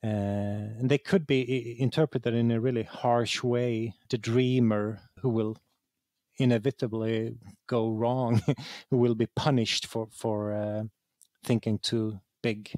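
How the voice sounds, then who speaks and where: A man's voice: medium pitch, fairly even intonation, takes it slowly, a little mellow, no echo, clean studio sound. A man with a Swedish accent explaining things conversationally as an interview guest, repeating words as he searches for phrasing. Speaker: interview guest, game writer.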